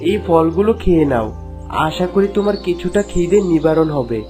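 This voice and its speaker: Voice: medium pitch, lively intonation, normal speed, mellow, faint music behind, natural voice. An actor voicing a protective, resolute young prince in a cartoon fairy tale, earnest and reassuring. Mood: caring.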